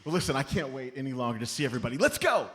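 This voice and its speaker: A man's voice: mid-range voice, very animated, very fast, fairly bright tone. A man playing a cheerful, optimistic entertainer turned sleuth in a live comedy radio play. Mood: eager. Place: live on stage at a comedy club. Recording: noisy live comedy stage recording.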